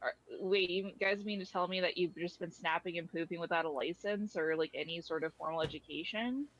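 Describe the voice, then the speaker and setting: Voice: mid-range voice, brisk, a bit bright, highs cut off. A performer voicing an irritated, blunt personification of the void in an audio drama, speaking casually with sardonic exasperation. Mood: incredulous. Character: irritated, foul-mouthed personified Void, a blasé homeowner. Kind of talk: audio drama character dialogue.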